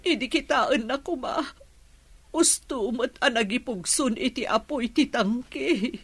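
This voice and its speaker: A woman's voice: fairly high pitch, a little slow, slightly rough. A woman playing a distraught, fretful mother in a melodramatic radio drama, plaintive and emotional. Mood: sorrowful.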